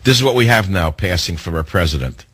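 A man hosting a talk radio show, delivering a sarcastic, indignant monologue with theatrical swings in pitch. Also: lively intonation, fast talker, fairly bright tone, husky, no room sound.

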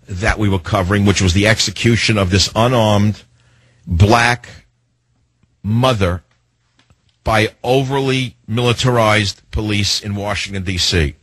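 A radio talk show host, a man, delivering an indignant monologue full of rhetorical questions, slowing down to hammer his points. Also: lowish voice, fairly bright tone, husky, no room sound, low-quality recording. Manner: slows down to hammer lists and key phrases.

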